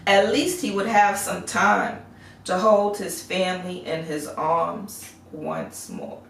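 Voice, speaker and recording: A woman reading a fairy tale aloud as storyteller, measured and expressive, in an echoey recording. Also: lowish voice, slight background noise. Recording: echoey room, far from the mic.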